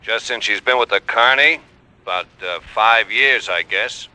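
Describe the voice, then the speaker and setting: A man playing an evasive carnival owner under questioning in an old-time radio drama, guarded and halting. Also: on the low side, lightly acted, poor audio.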